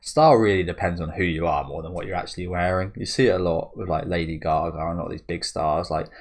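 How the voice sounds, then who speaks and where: A man's voice: deep voice, quick pace. A man hosting a manga reaction video. He thinks aloud in a rambling, casual way, with many restarts and tag questions. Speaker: manga reaction channel host.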